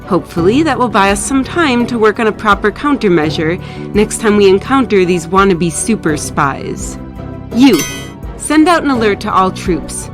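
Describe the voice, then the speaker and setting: A woman's voice: medium pitch, expressive, moderate pace, music in the background, close to an everyday voice. An actress playing a sardonic, commanding female villain leader in a scripted drama. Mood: brisk, commanding.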